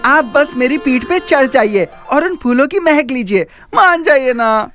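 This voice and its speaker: A woman's voice: high-pitched, lively intonation, a little slow, fairly bright tone. A woman voicing a devoted, eager young male elephant in a Hindi cartoon, with an earnest, affectionate manner. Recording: music under the voice.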